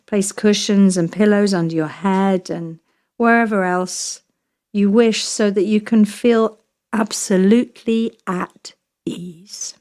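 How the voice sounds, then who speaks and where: A woman yoga nidra teacher leading a guided relaxation meditation in a soothing, measured way, leaving long pauses between short phrases. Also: mid-range voice, steady tone, a little mellow.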